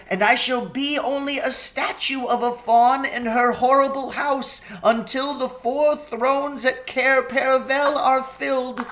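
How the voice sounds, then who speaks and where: A man's voice: extremely high pitch, fairly bright tone, voice pushed up, rough recording. A man voicing a timid, kind male faun in a storybook, weepy and guilt-ridden, pleading in an anguished stammer.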